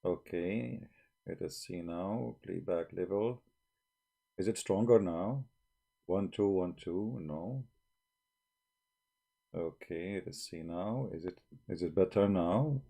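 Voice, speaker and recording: Man, live-stream host, mellow voice, blunt challenging talk, broken English, desk microphone. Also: sits low, slow, vocal fry, pauses often. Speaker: livestream host.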